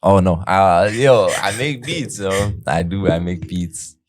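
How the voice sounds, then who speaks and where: A man's voice: low-pitched, takes it slowly, crowd noise. A young man, a barber guest on a podcast, talking laid-back with slang and jokes. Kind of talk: casual chat.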